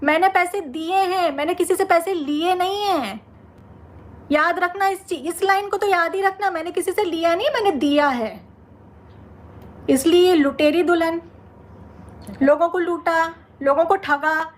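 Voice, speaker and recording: A woman's voice: very high voice, a little slow. A woman vlogger speaking confrontationally to her social media audience, indignant and combative, hammering points through repetition.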